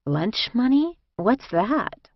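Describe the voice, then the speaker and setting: A woman's voice: fairly high pitch, sing-song, slow pace, no echo, muffled recording, pitched above the performer's own voice, heavily acted. A woman voicing a bewildered, polite new female inmate, hesitant, in audiobook dialogue.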